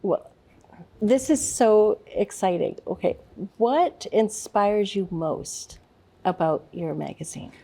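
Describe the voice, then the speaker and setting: A woman hosting a talk show, asking halting, hesitant interview questions. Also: mid-range voice, lively intonation, warm tone.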